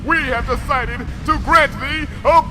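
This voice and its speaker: A man putting on a booming archaic night princess. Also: a little slow, background noise. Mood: booming regal. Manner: thundering, formal old-fashioned speech.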